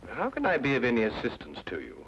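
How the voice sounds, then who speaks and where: A man's voice: mid-range voice, wildly swooping pitch, quick pace, a bit bright, slight background noise. A man playing an urbane, courteous British detective and narrator in an old radio drama. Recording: dull old radio recording, music behind.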